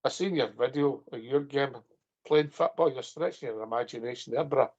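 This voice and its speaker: A man's voice: medium pitch, fairly quick, a little mellow. A man hosting a fan radio phone-in, teasing his guests and laughing often. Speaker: host. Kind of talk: casual phone-in chat. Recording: muffled phone-in line.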